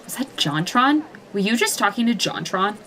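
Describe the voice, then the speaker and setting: A woman voicing an excitable, gossipy teenage schoolgirl who fires off eager questions. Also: mid-range voice. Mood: thrilled. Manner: gushing, eager rapid questions.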